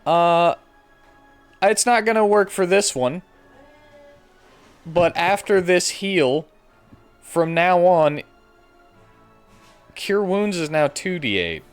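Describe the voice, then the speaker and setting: A man's voice: high voice, animated, slow, long pauses, smooth. A man running a tabletop role-playing game as game master, narrating the action in a playful way. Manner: acts out combat with playful sound effects. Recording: music playing behind the voice. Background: background music.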